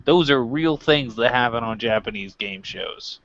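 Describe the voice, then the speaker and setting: A man's voice: mid-range voice, animated, moderate pace, a bit bright, muffled recording. A man co-hosting a wrestling review show, chatting casually with a wry, joking manner. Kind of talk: casual chat.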